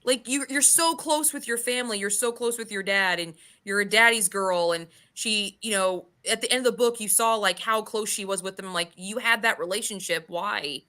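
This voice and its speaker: A woman's voice: medium pitch, moderate pace, hardly any pauses, sharp tone. A woman in a casual, opinionated book chat, throwing out exasperated rhetorical questions and swearing freely. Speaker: book club podcast host.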